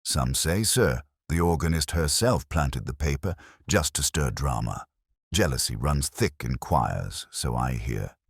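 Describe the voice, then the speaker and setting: A man's voice: very deep voice, animated, measured pace, vocal fry, slightly rough, dry recording, studio quality, heavily acted. A man voicing an eager, puzzled junior police sergeant who questions his superior in a detective story. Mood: confiding.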